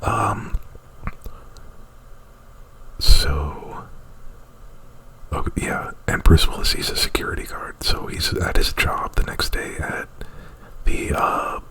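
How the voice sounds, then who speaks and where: A man whispering an ASMR retelling of a movie plot right up at the mic, in a halting, rambling, informal way. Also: low voice, sharp tone, low-quality recording. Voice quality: breathy whisper. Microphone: very close to the mic.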